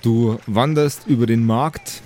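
A man's voice: mid-range voice, takes it slowly, a little mellow, faint music behind. A man narrating an improvised tabletop game as game master, searching for words and slipping in jokes. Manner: hesitant, searching for words, jokey.

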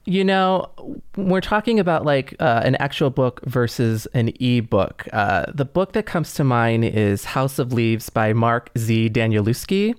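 Guy cohosting a podcast, smooth clear voice, chatty, super dry recording. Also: mid-range voice, expressive, unhurried, hardly any pauses, no echo. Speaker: podcast co-host. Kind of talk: casual conversational discussion.

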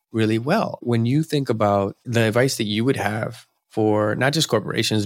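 Low-voiced male host, dry close sound. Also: no echo. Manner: reflective, long-winded questions. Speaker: podcast host. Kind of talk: interview hosting.

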